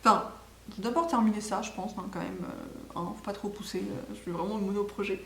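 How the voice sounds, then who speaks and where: A woman with a medium-pitched voice talks casually, as in a homemade video podcast. She is enthusiastic and rambling, often correcting herself mid-thought. The room is echoey, with a little noise behind her. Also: brisk, creaky. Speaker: knitting podcast host.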